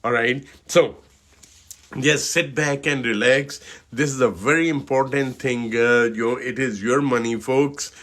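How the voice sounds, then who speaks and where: Indian-accented male financial advisor, middle-aged, hesitant webinar delivery with constant fillers, mid-pitched voice. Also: a bit bright. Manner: halting, many fillers and "you know, okay". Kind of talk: webinar presentation.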